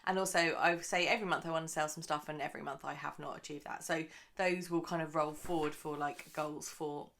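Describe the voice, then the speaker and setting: A woman talks through her monthly budget in a loose, rambling way, full of ums and asides. Her voice sits a little low and has a gravelly, croaky edge, since she is recording early in the morning. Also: fairly even intonation, brisk, hardly any pauses, fairly bright tone. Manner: chatty, rambling, many fillers. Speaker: budgeting channel host. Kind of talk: casual chatty monthly budget vlog.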